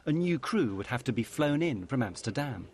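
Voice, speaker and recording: A man narrating a documentary in a measured, grave scripted style. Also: medium pitch, very animated, a little mellow, slightly rough.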